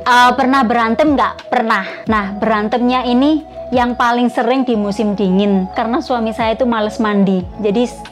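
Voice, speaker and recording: Brief: young woman from East Java, slightly high voice, friendly vlog Q&A host, music bed under her. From Malang, East Java, Indonesia. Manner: reads viewer questions aloud, then answers in a relaxed, friendly way. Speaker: vlogger answering viewer questions. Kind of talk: casual Q&A vlog.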